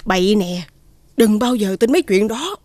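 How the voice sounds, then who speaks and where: A woman voicing a sensible, supportive village neighbour in an animated folktale, frank and reassuring. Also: mid-range voice, moderate pace, dry recording, lightly acted.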